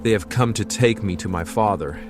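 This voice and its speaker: A man's voice: on the low side, moderate pace, music in the background, close to an everyday voice. An actor playing a weary, resigned man stricken with plague in an audio drama, speaking solemnly. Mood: solemn resolve.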